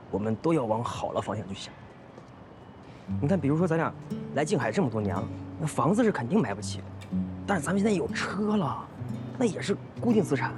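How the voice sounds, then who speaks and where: A man playing an optimistic, coaxing young husband in a screen drama, colloquial. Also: fairly high pitch. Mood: upbeat reassurance. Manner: coaxing, repetitive pleading, colloquial. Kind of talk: naturalistic screen drama acting.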